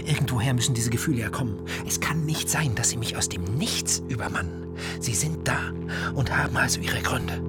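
A man's voice: mid-range voice, brisk, whispered, natural voice. A male actor playing a manic, paranoid writer in psychotic breakdown, narrating a literary radio-play monologue with feverish intensity. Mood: hushed, agitated. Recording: loud music under the voice. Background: prominent music.

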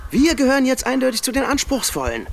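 A man's voice: extremely high pitch, big pitch swings, fast talker, vocal fry, slight background noise, full character voice. An actor playing an evasive, cutting, status-conscious man in a naturalistic radio drama, dismissive and condescending.

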